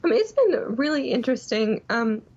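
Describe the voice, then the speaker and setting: A woman's voice: fairly high pitch, sing-song, fairly quick, a little mellow. A woman calling in as a guest on an interview show, chatting casually over an internet call. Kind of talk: casual chat. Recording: dull lo-fi call audio.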